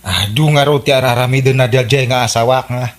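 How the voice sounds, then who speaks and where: A man's voice: medium pitch, steady tone, a little fast, close to an everyday voice. Man acting a suspicious ilocano husband, shocked and exclaiming, radio comedy. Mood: doubtful.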